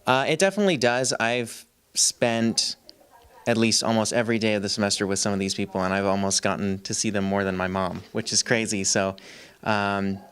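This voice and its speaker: A man's voice: on the low side, steady tone, fairly bright tone, clean tone. A young man, a student actor, answering interview questions in an easygoing way with some fillers. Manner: easygoing, a bit halting with fillers.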